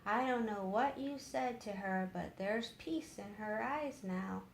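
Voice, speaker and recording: Old servant woman for a storybook, done by a woman narrator: folksy, worried, kind, slow, echoey room. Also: mid-range voice, vocal fry. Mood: grateful, puzzled. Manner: plain-spoken, folksy. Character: fretful, kindly old gray-haired housemaid woman. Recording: roomy echo with faint noise.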